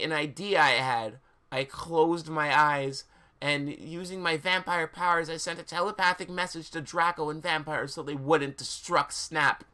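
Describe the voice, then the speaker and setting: A man with a fairly high, bright and forceful voice hosts a reading stream. He reads fan fiction with theatrical drama and chats casually with his audience.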